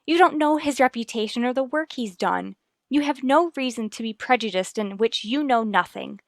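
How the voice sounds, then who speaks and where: A woman's voice: fairly high pitch, expressive, normal speed, no room sound, clean studio sound. An actress playing an earnest, determined wife and worried mother in a radio soap, speaking in a firm, formal, reasoning way. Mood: firm, indignant. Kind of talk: radio soap opera acting.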